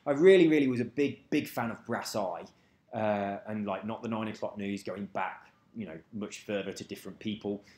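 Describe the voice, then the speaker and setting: A man's voice: fairly low pitch, moderate pace, darkish tone. A man rambling casually to camera, swearing freely and losing track of names. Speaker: vlogger. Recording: echoey room.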